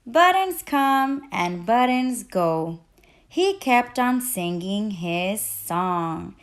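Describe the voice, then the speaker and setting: A woman's voice: mid-range voice, animated, very slow. A woman reading a children's picture book aloud expressively, putting questions to young listeners. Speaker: teacher and children's read-aloud host.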